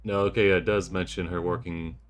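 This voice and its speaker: A man playing a tabletop roleplaying game, thinking aloud in a measured, casual way as he plans what his character does. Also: deep voice, fairly even intonation. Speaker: player. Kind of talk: casual tabletop roleplay, planning and describing actions.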